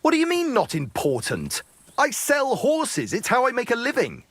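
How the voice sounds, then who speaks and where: An actor playing a blunt, sardonic medieval horse trader with a husky voice in a video game. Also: male voice, very high-pitched, wildly swooping pitch, moderate pace, fairly bright tone, no room sound, heavily acted. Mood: indignant. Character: gruff, hard-bargaining medieval horse trader, man. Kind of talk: video game dialogue acting.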